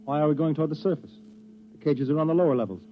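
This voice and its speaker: Man acting rapid-talking, wary prisoner. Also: a little high, lightly acted. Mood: suspicious. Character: wary, questioning male prisoner. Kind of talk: 1950s radio drama acting. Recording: tinny, faintly noisy vintage radio recording.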